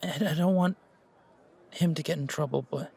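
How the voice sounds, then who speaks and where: A man playing a shocked, grieving young man in an audio roleplay, stammering and halting with a breathy delivery. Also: a little high, dark tone, a faint crowd, voice pushed up, full character voice. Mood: shaken, subdued.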